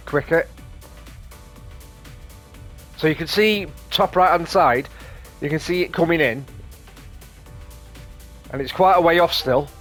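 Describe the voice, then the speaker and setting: A man hosting a gaming video, casually explaining play and reading tutorial text aloud in a rambling, explanatory way. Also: fairly high pitch. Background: light game music.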